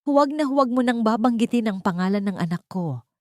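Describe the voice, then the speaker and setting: A woman's voice: a little high, animated, fairly quick, warm tone, no room sound, clean studio sound, voice pushed up, natural voice. A woman voicing an enraged, bitter father who speaks with hateful threat in a dramatic audio story. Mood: furious, hateful.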